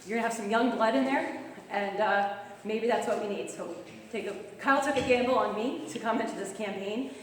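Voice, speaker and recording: A young woman with a Long Island accent, a campaign manager speaking fast and earnestly at a club meeting while losing her voice. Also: a little high, a little hiss or hum. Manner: fast-talking, earnest appeal. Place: meeting room. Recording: echoey hall from far off.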